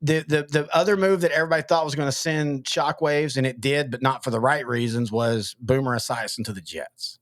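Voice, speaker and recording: A man's voice: mid-range voice, fairly even intonation, a little fast, few pauses, no echo, clean studio sound. A man from East Texas, hosting a casual sports podcast, rambling with stammered restarts. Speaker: podcast host.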